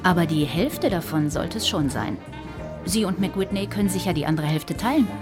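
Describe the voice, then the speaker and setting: A shrewd, insistent bounty hunter played by a woman in a radio crime drama. Her lowish voice is assertive and businesslike, with music clearly behind it. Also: expressive, normal speed, lightly acted. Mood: insistent, calculating.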